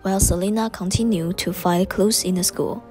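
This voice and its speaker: A woman narrates a student radio drama from a script. Her voice is mid-pitched with a Taiwanese accent, and clear music plays behind her. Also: fairly even intonation, normal speed, darkish tone.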